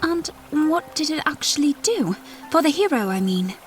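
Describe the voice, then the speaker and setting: Woman playing a high-voiced, earnest young princess. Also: very animated, moderate pace, soft background music. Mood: keen, curious. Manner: earnest, intense, questioning. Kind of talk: fantasy audio drama acting.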